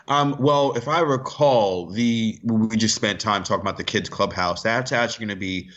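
Male radio guest, sports analyst, lower pitch, bright edge, explanatory and chatty, lo-fi sound. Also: moderate pace.

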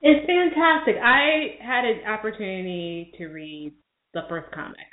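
A woman's voice: medium pitch, a little slow. A woman hosting a casual interview in an informal, enthusiastic way over a roomy, tinny connection. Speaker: interview host.

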